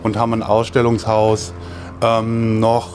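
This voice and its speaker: A man's voice: fairly low pitch, monotone, a little slow, darkish tone, soft background music. A middle-aged man from Hesse explaining things in a matter-of-fact, informal way as a show-home sales adviser. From Hesse, Germany. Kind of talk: explanatory interview answers. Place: show house.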